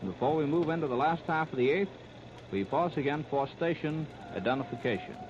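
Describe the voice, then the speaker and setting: A man's voice: on the high side, brisk. An American man calling baseball play-by-play in 1930s radio style, in clipped running description. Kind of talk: 1930s radio sports commentary. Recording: muffled, very noisy 1939 radio disc.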